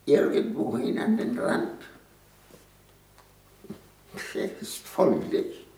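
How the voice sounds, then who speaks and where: An actor playing a very old, indignant, grandiose cardinal in a 1960s German radio play, declaiming pompously and haltingly. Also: male voice, lowish voice, gravelly, warm tone, full character voice. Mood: outraged, scornful. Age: older. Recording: roomy echoing 1960s radio studio.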